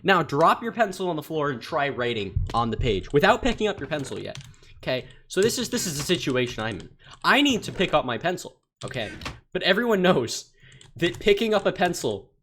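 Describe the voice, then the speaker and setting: Male gamer, casual storyteller, stumbling delivery, bright voice at a desktop mic. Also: on the high side, very animated, slight background noise. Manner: rambling, stumbling over words, quick to laugh. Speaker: gaming channel creator. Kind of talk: casual storytelling over gameplay.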